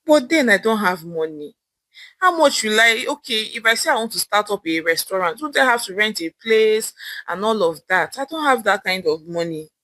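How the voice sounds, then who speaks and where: A woman storyteller voicing an excitable, hopeful young woman in a Nigerian accent, exclaiming and talking things over to herself. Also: mid-range voice, normal speed, no echo, lightly acted. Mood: pondering.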